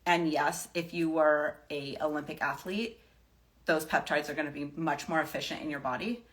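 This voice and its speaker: Lower-pitched woman, casual wellness advice. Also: normal speed, a bit bright, poor audio. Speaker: live stream host giving wellness advice. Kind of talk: casual off-the-cuff advice to followers.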